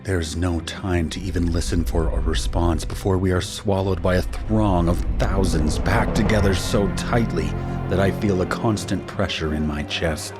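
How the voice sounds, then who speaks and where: An actor playing a shaken husband and father who narrates his ordeal in a grave, measured, first-person style for an audio drama. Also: low voice, fairly even intonation, music in the background, close to an everyday voice. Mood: grave, tense. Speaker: narrator and main character.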